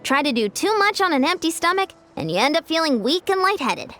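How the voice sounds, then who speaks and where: High, eager young athlete girl. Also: big pitch swings, fairly quick, a bit bright, faint music behind, full character voice. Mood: reassuring. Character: cheerful, hungry young female athlete with huge appetite. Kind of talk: video game voice acting.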